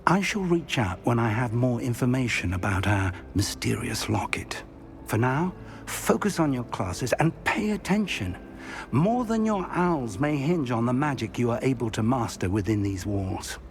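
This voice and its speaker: Man playing composed British professor, lively intonation. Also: medium pitch, a little hiss or hum, natural voice. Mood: courteous, instructive. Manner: formal, measured, articulate. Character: composed, courteous older British wizard professor and mentor. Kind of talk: video game cutscene acting.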